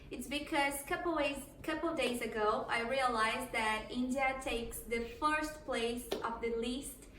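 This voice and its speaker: A woman from Brazil, a native Portuguese speaker, hosts a relaxed interview in English with friendly, slightly hesitant phrasing. Her voice sits a little high. She is recorded from a distance in a reverberant room with a faint hum behind her. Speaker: host. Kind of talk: casual interview. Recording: roomy echo, mic at a distance, faint background hum.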